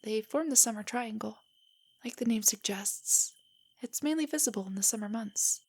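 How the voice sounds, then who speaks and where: A young woman playing a nerdy, nervous, tender girlfriend in an intimate stargazing roleplay audio, speaking softly and often near a whisper as she gently rambles. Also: on the high side, moderate pace, husky. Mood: gently enthusiastic. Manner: rambling, gently explaining, hesitant. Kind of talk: intimate roleplay audio, scripted to sound spontaneous.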